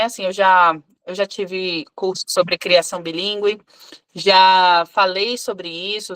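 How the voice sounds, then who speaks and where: A woman's voice: medium pitch, a bit bright. A woman in her thirties from Brazil, an English teacher, talking casually on a live video call with many fillers and restarts. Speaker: English teacher and club co-host.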